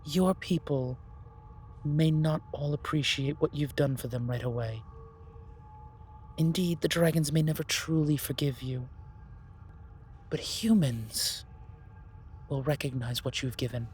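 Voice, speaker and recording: A man playing a grave, wise keeper of magic in a fantasy audio roleplay, speaking formally and deliberately. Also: on the high side, a little mellow, slight background noise, lightly acted. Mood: reassuring gravity. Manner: formal, stately, deliberate pronouncements.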